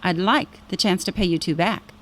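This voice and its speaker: A woman's voice: lowish voice, moderate pace, fairly bright tone, natural voice. A woman playing a sly, prying woman who trades in secrets, teasing and insinuating, in a radio drama.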